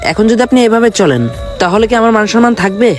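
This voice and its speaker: A man's voice: high-pitched, fast talker, over music, lightly acted. A young man with a rural Bangladeshi accent playing a reproachful, pleading son in an amateur drama. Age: young adult. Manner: reproachful, insistent. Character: upset, pleading young son scolding his smoker father. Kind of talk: amateur Bengali short drama acting.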